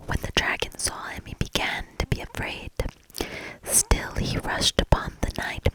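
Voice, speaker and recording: A woman whispering a fairy tale as ASMR, soft-spoken and deliberate, with measured storybook phrasing. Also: low-quality recording.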